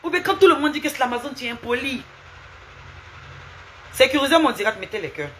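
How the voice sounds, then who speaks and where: A woman's voice: high-pitched, animated, fairly quick, a bit bright, a little hiss or hum. A woman live streaming, lecturing and scolding someone with repeated rhetorical questions and asking viewers for hearts.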